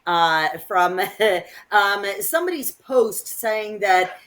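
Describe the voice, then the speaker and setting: A woman co-hosting an unscripted political discussion, speaking in an emphatic, opinionated way and halting as she gathers her thoughts. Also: mid-range voice, big pitch swings, fairly bright tone. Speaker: co-host of a political discussion show.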